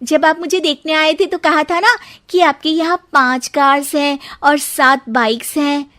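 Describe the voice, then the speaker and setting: An indignant young cartoon bride, acted by a woman, with an extremely high voice. She asks pointed questions in an exasperated manner, in the style of a moral story. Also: steady tone, unhurried, bright, forceful tone. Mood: puzzled, suspicious.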